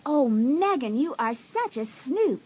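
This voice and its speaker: A woman's voice: high voice, sing-song, slow pace, darkish tone, heavily acted. A woman voicing a carefree, secretive teenage girl who brushes off worries lightly in an audio drama. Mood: breezy. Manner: light, dismissive, teasing.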